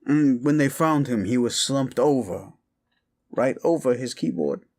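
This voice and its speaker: A man acts out a folksy older male detective in an intimate audio roleplay. He speaks slowly, with a pitch that rises and dips freely. He rambles and seems absent-minded, yet stays politely, slyly probing. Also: lively intonation, darkish tone, lightly acted. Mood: musing, speculative. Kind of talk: roleplay ASMR audio drama.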